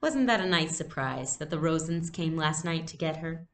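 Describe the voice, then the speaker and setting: A woman reading a storybook, voicing a patient mother who is soothing but gently firm. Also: low voice, expressive, moderate pace, slight background noise. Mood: reassuring.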